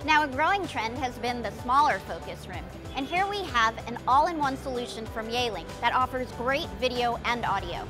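A woman's voice: fairly high pitch, animated, moderate pace, few pauses, music in the background. A woman giving a cheerful, scripted product tour at a trade show booth. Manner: cheerful, promotional. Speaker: presenter in a product preview video.

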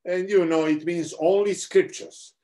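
A man's voice: sits high, steady tone, a little slow, warm tone. A middle-aged man with a Serbian accent, giving a theology lecture over a video call in an earnest, explanatory way with frequent restarts. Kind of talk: online seminar lecture.

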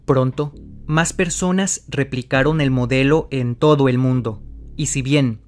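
A man's voice: mid-range voice, a little slow, faint music behind. A man narrating a mystery video from a script, speaking straight to the viewer in a dramatic tone. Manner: dramatic, addresses the viewer directly. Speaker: channel host narrator. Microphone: voice-over.

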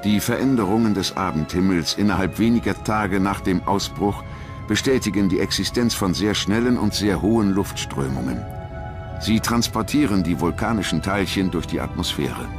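A man with a low, deep voice narrates a documentary. His delivery is measured and grave, carefully scripted, with music clearly playing behind him.

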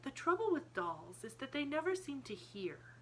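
A woman's voice: high voice, sing-song, moderate pace, slight background noise, voice pushed up. A woman voicing an earnest, imaginative seven-year-old girl in an audiobook, thoughtful, precise and eager. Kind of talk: audiobook character voice.

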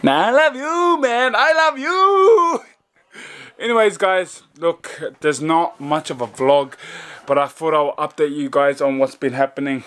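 A man's voice: fairly high pitch, lively intonation, a little slow, fairly bright tone, smooth. A young man chatting casually and teasing in a home vlog, filmed on a handheld camera in a small bedroom. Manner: joking, teasing, laughs often. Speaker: vlogger. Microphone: handheld camera at arm's length.

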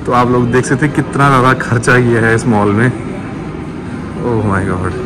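Guy doing a casual travel vlog, mid voice, chats to viewers w bits of english, really loud street and city noise behind him. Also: medium pitch, moderate pace. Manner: addresses viewers directly, mixes in English phrases. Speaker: vlogger. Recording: loud ambient noise, cars and outdoor bustle.

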